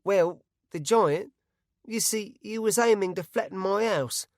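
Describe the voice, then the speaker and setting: A man's voice: sits high, unhurried, dry recording, clean studio sound, pitched above the performer's own voice. A man doing a jittery rustic villager. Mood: earnest. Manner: stammering, rustic grammar.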